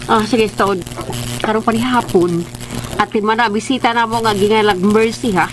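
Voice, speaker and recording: A woman's voice: on the high side, steady tone, normal speed, background noise, lightly acted. A woman playing a scheming, controlling bride-to-be who gives insinuating orders in a radio drama. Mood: cold, bossy.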